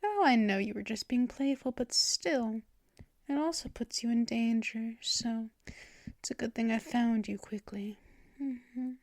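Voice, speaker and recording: A woman voicing a gentle, possessive female vampire mistress in an ASMR roleplay, speaking softly and often dropping into whispers and shushing. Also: fairly high pitch, measured pace, no room sound. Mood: soothing. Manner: soft and soothing, drifting into whispers and shushing. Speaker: ASMR performer.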